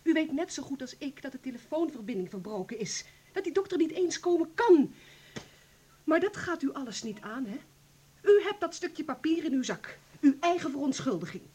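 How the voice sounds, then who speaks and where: A woman's voice: high voice, very animated, moderate pace, darkish tone. A woman acting in a Dutch radio play as an impassioned, insistent nurse who accuses and reproaches. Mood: bitter accusation.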